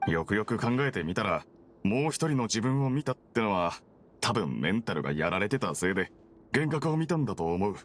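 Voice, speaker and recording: A man's voice: on the low side, very animated, a little fast. A man voicing a weary, apologetic prisoner in an anime game, with a casual, placating and slightly hesitant manner. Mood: reassuring. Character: weary adult male prisoner, placid, apologetic, evasive.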